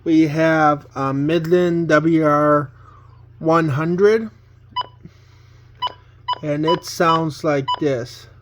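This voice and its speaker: Male hobbyist vlogger, lightly raised pitch, very unhurried and halting, plain-spoken casual walk-through at home. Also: fairly even intonation, very noisy. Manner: halting, plain-spoken, pausing mid-sentence. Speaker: hobbyist vlogger showing a collection. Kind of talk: casual unscripted home video tour. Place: indoors at home, walking between rooms.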